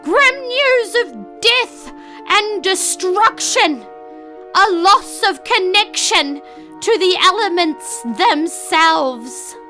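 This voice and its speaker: A woman voicing a winged bird-like leader who screeches out grim news in slow, loud proclamations. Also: very high voice, animated, soft background music, pitched above the performer's own voice, full character voice. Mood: grim, urgent.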